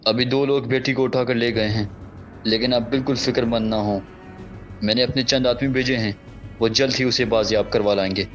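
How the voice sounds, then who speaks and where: A man playing a calm, commanding village chieftain in an audio drama. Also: mid-range voice, a little fast, lo-fi sound, faint music behind, lightly acted. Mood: reassuring. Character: steady village chieftain, middle-aged man.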